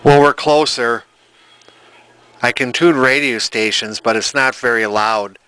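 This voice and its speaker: An older man giving a casual repair demonstration as a hobbyist, halting as he thinks aloud. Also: medium pitch, bright, forceful tone, poor audio. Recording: background music from vintage radio and turntable.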